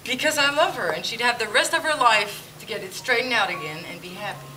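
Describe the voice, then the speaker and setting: A woman acting out a doubtful guest who questions every claim. Her voice is at a middle pitch. It comes from a distance in a church hall with music behind it. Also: lively intonation, fairly quick, bright, forceful tone. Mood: skeptical. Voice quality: skeptical, questioning. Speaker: church play actor. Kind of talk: amateur church stage play. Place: church hall stage, indoors. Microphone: far camera.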